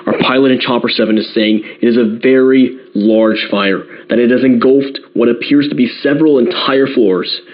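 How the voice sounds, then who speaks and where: A grave radio newsman acted by a man reports breaking news in an audio drama. His mid-range voice is measured and careful, repeating key details. The broadcast sound is a little dull. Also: mid-range voice, lightly acted.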